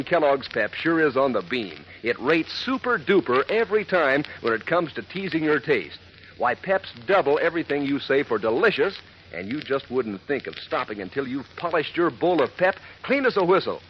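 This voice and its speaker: A man delivering a 1940s radio advertisement as a pitchman, folksy and enthusiastic, savoring his slangy words. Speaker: commercial pitchman. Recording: noisy, narrow-band old radio recording.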